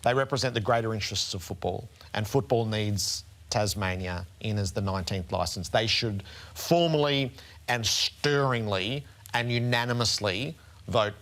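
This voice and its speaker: A man's voice: lowish voice, normal speed, fairly bright tone. An Australian man hosting a sports panel show, speaking in an emphatic, eloquent, rhetorical way.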